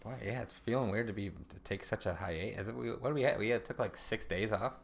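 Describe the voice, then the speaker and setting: A man's voice: lowish voice, brisk, creaky. A man in his twenties talking informally and wryly as co-host of a casual comedy podcast. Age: 20s.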